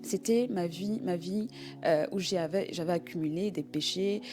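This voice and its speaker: Woman with lowish voice, haltingly sharing testimony. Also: over music. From Congo. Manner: halting, searching for words, repeating herself. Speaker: guest giving a testimony.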